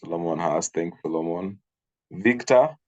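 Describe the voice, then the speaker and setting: A man from Kenya teaches a class over a video call. His voice sits very low. He talks haltingly, with fillers and repeated words. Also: muffled recording. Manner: hesitant, repeats himself, frequent fillers. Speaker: instructor. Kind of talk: online training session.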